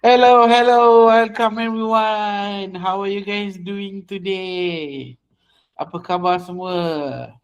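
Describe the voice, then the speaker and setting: A man's voice: high-pitched, steady tone, slow, clean tone, dull audio. A man with a Malaysian accent hosting an online session, chatting casually with participants and mixing English with Malay. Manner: mixes English and Malay, often repeats phrases. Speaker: webinar host. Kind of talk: casual welcoming chat with participants. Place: online video call.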